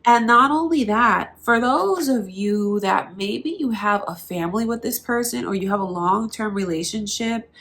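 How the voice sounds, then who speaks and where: A woman's voice: mid-range voice, normal speed, hardly any pauses, fairly bright tone. Nasal woman doing a tarot reading. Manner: earnest, reassuring, speaks to viewers directly. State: blocked nose from allergies. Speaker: tarot reader.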